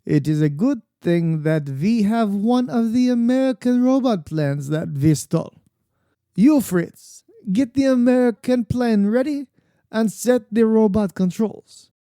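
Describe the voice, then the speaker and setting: A man playing a cold spy ringleader, deliberate and commanding, in radio serial style. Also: high-pitched, animated, warm tone, clear, resonant tone, no room sound, studio quality. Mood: scheming, commanding. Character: cold, scheming male German spy ringleader, a villain. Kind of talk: 1940s-style radio serial villain acting.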